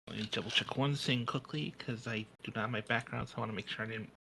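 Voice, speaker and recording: A man's voice: mid-range voice, fairly even intonation, fast talker, creaky, background noise. A man playing a tabletop roleplaying game, talking casually and hesitantly as he thinks aloud.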